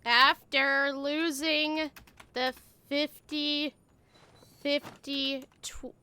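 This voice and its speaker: A woman chatting casually and a bit scatteredly to viewers as she livestreams a game, excitable in manner. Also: high-pitched, drawn-out words. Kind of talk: casual livestream chat while gaming.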